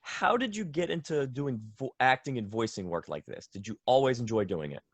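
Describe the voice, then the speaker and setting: A man's voice: medium pitch, expressive, fairly quick, no echo. A man interviewing a guest in a casual, conversational way over a video call.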